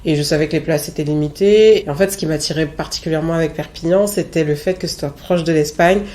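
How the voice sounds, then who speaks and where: A woman's voice: low-pitched, fast, darkish tone. A woman in her late thirties from French Guiana giving a reflective personal testimony, speaking candidly and with self-assured emphasis. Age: late 30s. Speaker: interviewee.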